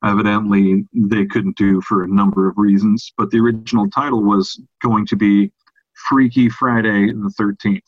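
A man co-hosting a podcast, giving a casual film review and thinking aloud in a halting way. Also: fairly low pitch, flat delivery, no echo, lo-fi sound. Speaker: podcast co-host.

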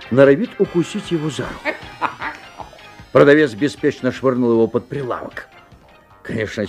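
An actor playing a wary, observant father who narrates a strange tale expressively, with theatrical pauses. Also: mid-range voice, darkish tone. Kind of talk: dramatic literary narration. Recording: 1970s audio play, music behind.

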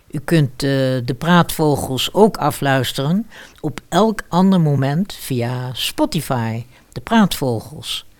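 A woman's voice: sits low, darkish tone, no room sound. A woman presenting the closing credits of a radio play. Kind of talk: radio outro announcement.

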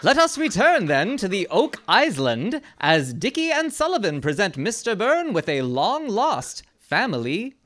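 Arch, lively woman narrator. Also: medium pitch, a little slow, hardly any pauses. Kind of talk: dramatic narration.

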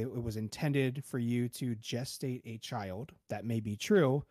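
A man calmly explaining ideas with examples in a casual podcast panel talk. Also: medium pitch, no echo, clean studio sound. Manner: calm, explanatory, gives examples.

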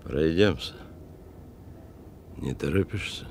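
An actor playing a wary, well-fed fugitive criminal in a radio play, talking slyly and tersely. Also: male voice, extremely low pitch, lively intonation, gravelly, a little hiss or hum, heavily acted. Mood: sly, watchful. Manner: sly, unhurried, terse underworld talk.

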